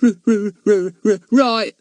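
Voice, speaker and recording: A high stammering Cockney rag-and-bone lad. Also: male voice, big pitch swings, a little slow, fairly bright tone, dry recording, exaggerated voice. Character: young man faking a Cockney rag-and-bone seller.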